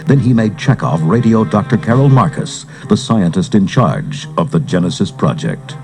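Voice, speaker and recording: A man's voice: sits low, sing-song, normal speed, vocal fry. A man giving dramatic scripted narration for a read-along adventure story. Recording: cassette transfer with music underneath.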